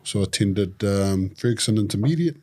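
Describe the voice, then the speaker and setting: Samoan-born man with a low baritone. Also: brisk. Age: 40s. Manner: relaxed, joking, with fillers and restarts. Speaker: podcast guest. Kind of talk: casual interview.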